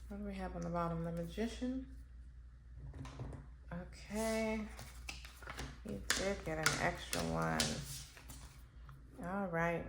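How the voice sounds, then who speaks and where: A woman reads tarot cards on camera in a casual, unhurried way, pausing often to muse about what she sees. Her voice sits a little low and she takes her time. The room is echoey, with a faint hum of noise behind her. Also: vocal fry. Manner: slow, musing, thinking aloud with pauses and fillers. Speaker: tarot reader. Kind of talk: casual pick-a-card tarot reading.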